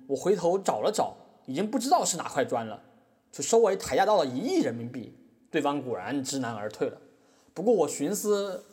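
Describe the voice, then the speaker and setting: A man's voice: on the high side, darkish tone. A man playing a brash, boastful, money-minded boss giving chatty testimony in a comic courtroom drama. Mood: scheming, boastful. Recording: echoey hall reverb.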